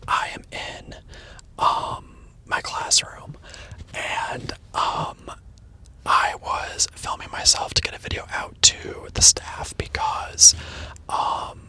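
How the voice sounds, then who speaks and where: A man who teaches, telling a casual rambling story in a soft unvoiced whisper from a parked car, with the mic on the dashboard. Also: takes it slowly. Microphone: microphone on the dashboard.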